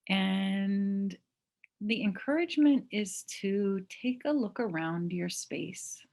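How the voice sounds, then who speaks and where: A woman teaching mindfulness, leading a guided meditation in a gentle, unhurried manner with long pauses. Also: medium pitch.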